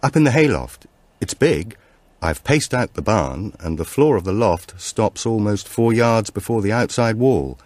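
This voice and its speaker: A man's voice: lowish voice, expressive, a little slow, darkish tone, no echo, voice pushed up. A man voicing a troubled, apologetic father, earnest and uneasy, in an audiobook thriller.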